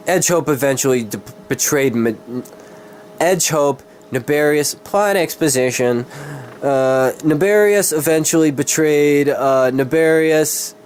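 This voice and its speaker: A man's voice: on the high side, measured pace, a little mellow, slight background noise. A man who is sick gives a dramatic reading of fantasy as an amateur, tripping over his words and swearing in casual asides. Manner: stumbling, tongue-tied, sweary. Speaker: amateur reader of his own fantasy story.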